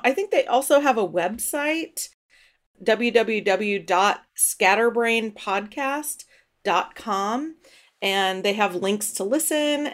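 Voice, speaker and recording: A woman's voice: medium pitch, a bit bright. A woman hosting a podcast, chatting easily and laughing often during an interview over a video call. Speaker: podcast host.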